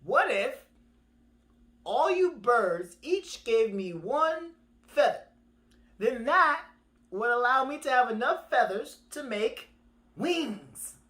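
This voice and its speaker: A woman voicing a sly, pushy male tortoise in an animated folktale, talking insistently to persuade. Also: on the high side, slow, pauses often, voice pushed up. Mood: scheming. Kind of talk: animated oral storytelling.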